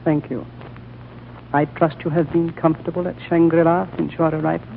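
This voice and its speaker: A serene, ancient High Lama, talking slowly and with courtesy in a gravelly, somewhat high voice. The sound is thin and tinny, like an old radio broadcast under faint hiss. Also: male voice, flat delivery. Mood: gentle. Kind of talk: 1930s radio drama acting.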